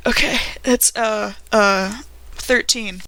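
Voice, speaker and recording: A woman's voice: medium pitch, fairly even intonation, slow, pushed and bright, no room sound. A woman chatting casually as a player in a tabletop roleplaying game.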